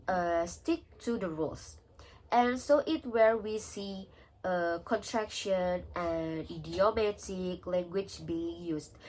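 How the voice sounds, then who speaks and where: A young woman with an Indonesian accent, answering exam questions and pausing often with filler sounds. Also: mid-range voice, a little hiss or hum, rough recording. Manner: hesitant, many pauses and filler sounds. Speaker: student.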